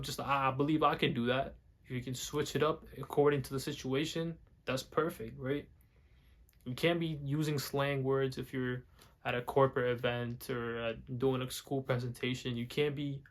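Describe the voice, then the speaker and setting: Young guy vlogging, english not his first language, keeps restarting sentences, mid voice. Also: mid-range voice. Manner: rambling, repeats words and restarts a lot. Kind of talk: casual talk to camera.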